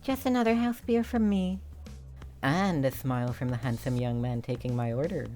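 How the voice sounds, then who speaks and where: Woman playing a very low-voiced flirty bar customer. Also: moderate pace, mellow. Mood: flirtatious. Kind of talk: visual novel fandub acting. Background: background music.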